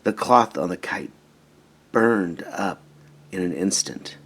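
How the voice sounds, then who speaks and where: A man reading a children's storybook aloud in an expressive, emphatic way. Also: low voice, takes it slowly, breathy edge. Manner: animated, emphatic, dramatizing story moments. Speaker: storybook reader.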